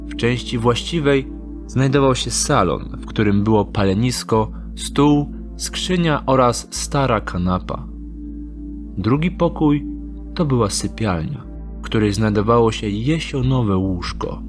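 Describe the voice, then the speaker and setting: Slow, low-voiced male narrator. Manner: calm, measured storytelling. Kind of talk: scripted audio drama narration. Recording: narration over music score.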